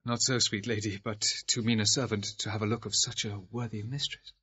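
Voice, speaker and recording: Actor as a courtly young gentleman, noisy recording. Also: lowish voice, level tone, fairly quick, lo-fi sound, close to an everyday voice. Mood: courteous, gallant. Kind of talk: classical Shakespearean audio drama acting.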